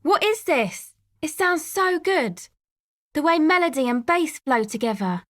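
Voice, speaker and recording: A woman's voice: sits high, animated, unhurried, dry recording. A woman voicing a laid-back young woman from a street rave crew in a video game, talking casually in a dry, hesitant, slangy way. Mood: awed, excited. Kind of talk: video game character dialogue.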